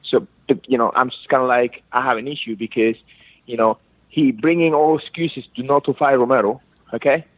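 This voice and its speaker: A man's voice: mid-range voice, steady tone, a little fast, dry recording. A man from Cuba with a Cuban Spanish accent, calling in and speaking insistent, repetitive, broken English; Spanish is his first language. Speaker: fighter calling in. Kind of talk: phone interview. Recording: dry, tinny phone line.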